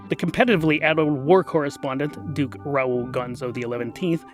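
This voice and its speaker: A man narrating a scripted comic fantasy story in a dry, deliberate, theatrical way. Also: fairly high pitch. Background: music.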